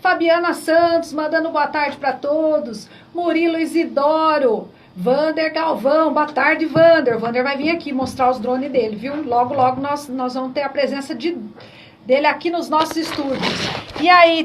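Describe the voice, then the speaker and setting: A woman's voice: sits high, normal speed, far from the mic, slight background noise, rough recording. A Brazilian woman hosting a radio talk show, greeting listeners and asking the doctor questions. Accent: Brazilian Portuguese. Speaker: radio host.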